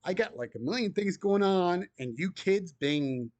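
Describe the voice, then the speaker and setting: A man game master chatting casually, speaking calmly and evenly. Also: high voice, a little slow, no echo. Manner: calm, even-tempered talker. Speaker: game master, video creator. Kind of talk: casual podcast chat.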